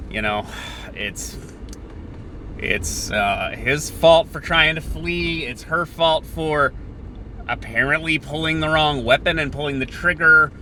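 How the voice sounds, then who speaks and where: A man hosting a podcast, thinking out loud in a sardonic, opinionated political monologue that moves between halting pauses and bursts. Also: medium pitch, slight background noise. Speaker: podcast host.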